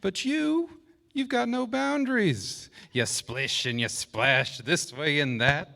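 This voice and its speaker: A man voicing a jolly, wise loaf of bread who chuckles and speaks slowly and kindly. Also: fairly high pitch, vocal fry, pushed and bright, long reverb tail, heavily acted. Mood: amused.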